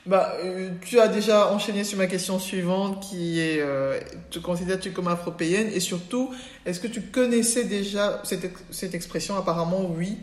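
A woman's voice: fairly low pitch, normal speed. A woman hosting a casual interview, speaking in an informal, encouraging, conversational way. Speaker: interviewer, host. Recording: echoey room.

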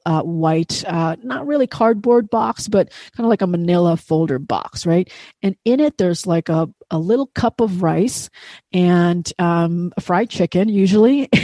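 A woman's voice: fairly low pitch, warm tone, no room sound. A woman from Indonesia hosting a solo podcast, talking straight to her listeners in an emphatic way, often repeating words for stress. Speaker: podcast host.

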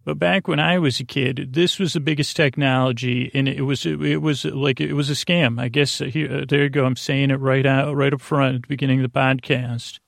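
Rambling, creaky-voiced man in his forties. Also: mid-range voice, steady tone, dry recording, clean studio sound. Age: 40s. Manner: meandering, halting, repeats and trails off mid-thought. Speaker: sleep podcast host. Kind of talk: rambling casual bedtime monologue.

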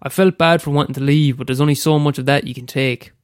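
A man's voice: medium pitch, fairly even intonation, fast talker, clear, resonant tone, no room sound, clean studio sound. A young Irish man with a head cold, reading aloud a short story he wrote, after a hesitant casual introduction. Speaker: amateur storyteller.

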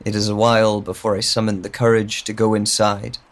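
A man's voice: on the low side, completely flat delivery, fairly quick, natural voice. An actor playing a bewildered, lonely man with lost memory in a hesitant, introspective audio drama monologue. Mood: pensive, uneasy. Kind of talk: slow first-person audio drama monologue.

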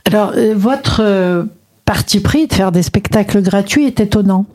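A woman hosting a radio interview, brisk and prompting, cutting in with confirmations. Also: medium pitch, warm tone. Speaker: radio host, station president.

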